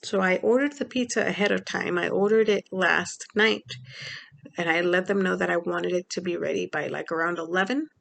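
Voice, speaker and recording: A woman's voice: lowish voice, fairly quick, vocal fry, fairly bright tone. A young woman from Mexico talking casually in a personal vlog, rambling with many fillers. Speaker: vlogger.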